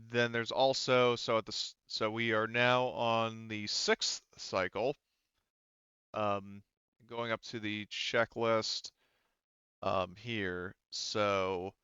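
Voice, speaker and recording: Halting low-voiced man running a game. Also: fairly bright tone, smooth, dry recording, dull audio. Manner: halting, thinking aloud. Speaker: game master. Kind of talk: casual tabletop game narration and rules talk.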